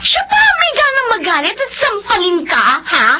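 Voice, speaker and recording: A woman's voice: very high-pitched, huge pitch swings, moderate pace, pushed and bright, full character voice. An actress playing a gossipy, loyal female friend, indignant on her friend's behalf. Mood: indignant, nosy. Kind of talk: radio-drama acting. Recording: muffled lo-fi recording, faint hum.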